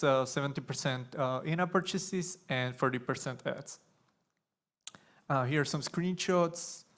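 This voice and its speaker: A young man from Slovakia giving a conference talk on stage, nervous and halting, with many fillers and restarts. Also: mid-range voice, fairly bright tone, smooth. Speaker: conference speaker.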